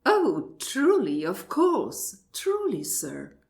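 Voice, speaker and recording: A woman's voice: on the high side, huge pitch swings, gravelly, heavily acted. A woman playing a prim, courteous, politely baffled lady with formal delivery in an absurdist radio play. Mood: mock astonishment. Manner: formal, deliberate, mock-astonished. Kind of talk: absurdist stage dialogue read as radio play.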